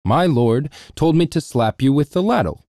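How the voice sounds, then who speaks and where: A man's voice: a little high, expressive, normal speed, warm tone, no room sound, studio quality, voice pushed up. A man voicing a kind and timid cook who speaks plainly.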